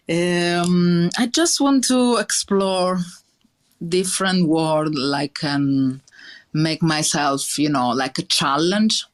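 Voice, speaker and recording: A woman's voice: lowish voice, extremely slow, long pauses. A woman in her thirties with a strong Italian accent, speaking earnestly in a podcast interview, with many fillers and restarts. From Italy. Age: 30s. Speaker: chef guest.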